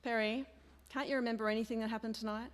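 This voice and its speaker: A woman's voice: on the high side, steady tone, fast, natural voice. A woman playing an eager, affectionate newspaper colleague in an old-time style radio play. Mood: confiding. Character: eager, affectionate young female newspaper reporter's sidekick. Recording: echoey hall, long reverb.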